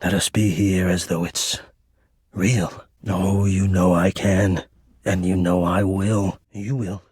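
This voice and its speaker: Breathy, lovesick male machine. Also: low voice, flat delivery, a little mellow, no echo, exaggerated voice. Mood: pleading, devoted. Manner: halting, intimate, breathy, earnestly formal. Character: shy, devoted, lovesick male home heating-and-cooling system. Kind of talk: dramatic romantic video game voice acting.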